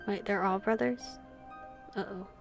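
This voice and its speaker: A woman's voice: mid-range voice, steady tone, a little slow, gravelly. A woman playing a visual novel, reading the narration aloud and reacting expressively as a streamer. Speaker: gaming streamer. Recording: game music behind the voice. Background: game music.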